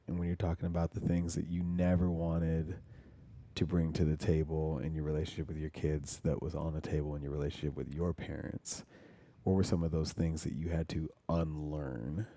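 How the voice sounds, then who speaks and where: Deep dude hosting a podcast, mellow dark voice, lots of yeahs, dry mic. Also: male voice, level tone, moderate pace, dry recording. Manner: affirming, lots of "yeah" and "mm-hmm", loose rambling questions. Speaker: podcast host. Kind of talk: casual interview conversation.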